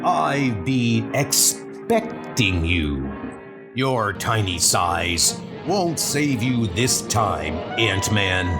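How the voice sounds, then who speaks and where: A man voicing a menacing supervillain in a comic book dub, gloating in a slow, deliberate way. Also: on the low side, exaggerated voice. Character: menacing male villain, weapons engineer in porcupine suit.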